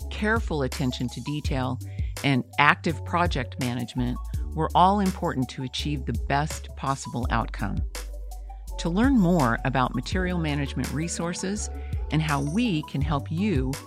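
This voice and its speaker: A woman's voice: low-pitched, animated, moderate pace. A woman narrator delivering scripted promotional narration. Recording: music bed under voice. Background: music.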